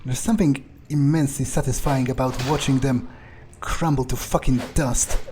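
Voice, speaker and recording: A man's voice: mid-range voice, fairly even intonation, normal speed, noisy background. A man playing a video game in character as a vampire, musing aloud in a smug, menacing, drawling way.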